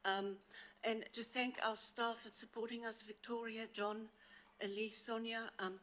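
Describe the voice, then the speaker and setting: A woman with an accent from outside the city presents to a council committee on a handheld mic, earnest and halting with frequent fillers. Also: on the high side, level tone, a bit bright. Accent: non-local accent. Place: council meeting chamber. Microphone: handheld microphone.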